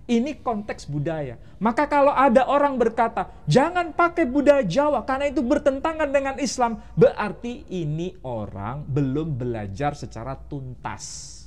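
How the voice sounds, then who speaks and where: A man's voice: extremely high pitch, expressive, normal speed. A man, an Islamic teacher, explaining religion in an interview and quizzing the interviewer with rhetorical questions.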